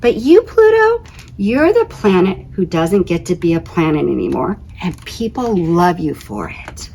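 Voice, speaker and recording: A woman voicing a big-hearted, wise Sun in a storybook, measured and reassuring, with emphatic delivery. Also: on the low side, sing-song, vocal fry, a little mellow, a little hiss or hum, full character voice. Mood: tender, emphatic.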